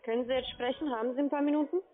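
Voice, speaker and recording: A woman making a telephone sales pitch over a narrow phone line. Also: steady tone, rapid-fire.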